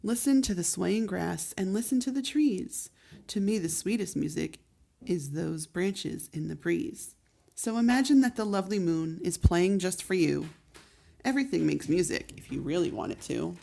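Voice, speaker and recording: A wise little cricket musician, as a woman reading aloud voices him, gentle and encouraging. The voice sits low, with a gravelly edge. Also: moderate pace.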